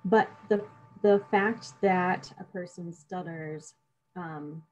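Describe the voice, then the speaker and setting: Low-voiced woman speech therapist chatting. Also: slow pace, a little mellow, a little hiss or hum, poor audio. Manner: explains by talking through client examples. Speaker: speech-language pathologist. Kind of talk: casual professional conversation.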